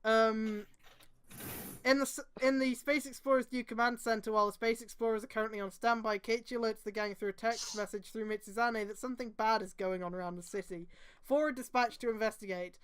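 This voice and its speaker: High-voiced woman reading aloud on a stream. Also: fairly even intonation, a little fast, clean tone. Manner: exclamatory, mocking. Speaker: host reading fan fiction on a stream. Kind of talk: reading aloud with joking asides.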